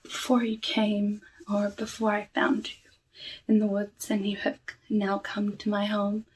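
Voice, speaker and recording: Woman as lonely witch of the woods for ASMR roleplay: soft, whispery, very slow, courteous with sly menace, slightly distant with faint hiss. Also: medium pitch, completely flat delivery, close to an everyday voice. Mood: softly hospitable. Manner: hushed, slow, deliberate, formal old-fashioned phrasing.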